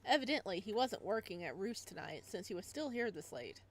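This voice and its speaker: A woman's voice: medium pitch, very animated, brisk, poor audio. A woman hosting a playthrough, reading visual novel narration aloud expressively, with casual asides.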